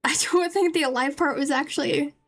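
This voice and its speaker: High-voiced woman streaming a game. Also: fairly quick. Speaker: streamer playing a story game. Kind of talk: casual live game commentary.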